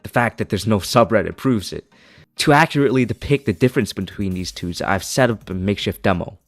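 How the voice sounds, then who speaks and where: A man's voice: on the low side, animated, a little fast, soft background music. A man reading a scripted video essay as its narrator, with an opinionated, critical manner.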